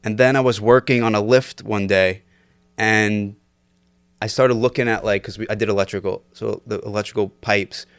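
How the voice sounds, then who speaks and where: A man's voice: on the low side, steady tone, moderate pace, smooth, no echo, studio quality. A young man in his twenties telling stories on a casual podcast, loose and laughing with lots of fillers. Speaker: podcast guest. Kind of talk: casual storytelling chat.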